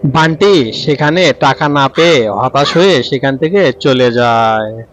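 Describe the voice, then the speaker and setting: A man's voice: mid-range voice, lively intonation, a little slow. A man narrating a scripted cartoon story as its storyteller.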